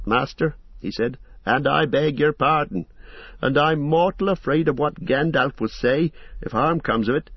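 A man's voice: mid-range voice, gravelly, husky, no room sound, voice pushed up, heavily acted. A man voicing a fussy, long-winded middle-aged innkeeper in an audiobook, flustered and apologetic. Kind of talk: audiobook character voice. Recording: slightly muffled audio.

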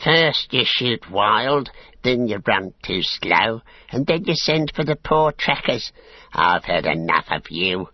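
Sneering little orc tracker, raspy. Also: male voice, on the low side, animated, slow pace, dry recording, dull audio, pitched above the performer's own voice, full character voice. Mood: jeering. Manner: jeering, whining complaints. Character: small orc tracker, grumbling, sneering, insolent male.

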